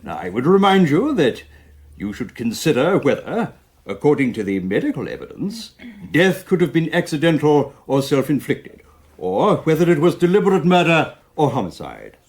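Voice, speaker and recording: A British coroner in a radio drama. His voice sits on the high side, and he is courteous and methodical, feeling his way through formal official phrasing with small hesitations. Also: very animated, normal speed, darkish tone. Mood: formal, instructive. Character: official male coroner presiding over inquest, courteous, methodical.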